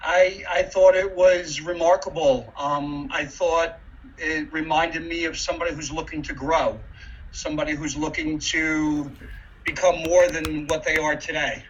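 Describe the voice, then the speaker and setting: A man on a video call as a webinar participant, speaking in a measured, thoughtful way with pauses. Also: on the high side, fairly even intonation. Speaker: webinar participant giving a speech. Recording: dull lo-fi call audio, faint room noise.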